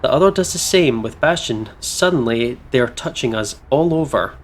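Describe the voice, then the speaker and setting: A man's voice: medium pitch, animated, normal speed. A man hosting a game playthrough, switching between informal chat and reading visual-novel narration aloud in a playful, casual way. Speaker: let's play host.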